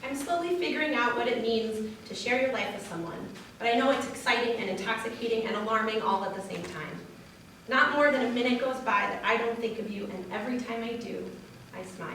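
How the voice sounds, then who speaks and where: A woman's voice: on the high side, fairly bright tone. A woman reading love letters aloud as a reader at a wedding. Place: large echoey room at a wedding. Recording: echoey hall, distant mic, faint noise.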